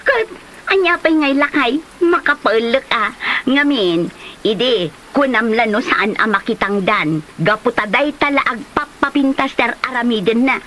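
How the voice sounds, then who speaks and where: A woman's voice: on the high side, a little slow, fairly bright tone, a little hiss or hum, rough recording. A woman with an Ilocano Filipino accent playing a gossipy, mocking village wife in a comedy drama.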